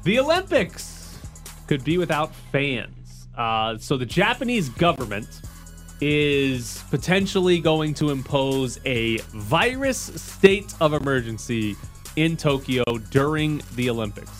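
A man's voice: a little high, sing-song, slow, faint music behind. A man co-hosting a sports talk show, reading news items and chatting about them in a dry, casual way.

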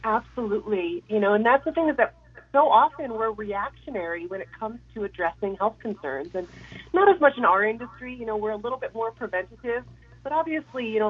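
Raspy woman with a head cold. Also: fairly high pitch, muffled recording. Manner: chatty, lots of fillers. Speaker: guest. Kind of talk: casual radio chat.

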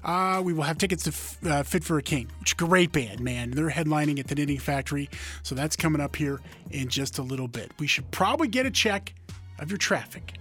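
A hoarse male radio host in a rambling, casual chat, full of fillers and asides. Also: a little high, animated, a little fast, fairly bright tone, soft background music. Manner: rambling, filler-heavy, self-deprecating.

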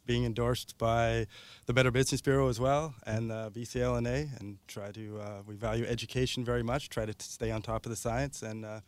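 A Canadian man speaks as a guest in a radio interview. His pitch is average and the recording is dry and close, with an informal conversational delivery. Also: level tone, fairly quick, no room sound. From Ontario, Canada.

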